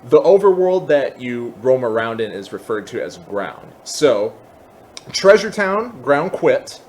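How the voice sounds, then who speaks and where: Man giving a software tutorial, noisy background. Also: medium pitch, sing-song, unhurried. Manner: step-by-step instructional, informal. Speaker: tutorial host. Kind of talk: casual screen-recorded software tutorial, explanatory.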